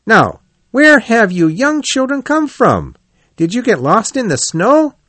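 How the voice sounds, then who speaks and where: A man voicing a cheerful, brisk and kindly rat in a storybook, with a hearty manner. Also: very high voice, very animated, fairly bright tone, dry recording, voice pushed up, exaggerated voice. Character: cheerful, brisk, friendly water rat gentleman.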